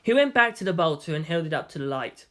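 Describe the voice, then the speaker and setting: A man's voice: on the high side, sing-song, fast talker, bright, forceful tone. A man narrating a story from a script as a fan fiction reader.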